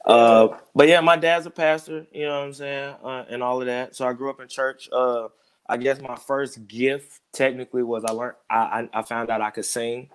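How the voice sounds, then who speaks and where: A man's voice: mid-range voice, fairly even intonation, moderate pace. A man in his thirties, a music producer talking casually as an interview guest, in a laid-back, rambling way. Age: 30s. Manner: laid-back, rambling, keeps saying "you know what I'm saying".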